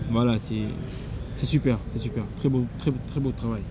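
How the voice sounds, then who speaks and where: A man's voice: mid-range voice, steady tone, moderate pace. A man in his thirties, an interview guest, answering earnestly and restarting his phrases often. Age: 30s. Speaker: guest, son of honored journalist. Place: outdoor stadium. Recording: loud insect noise outdoors.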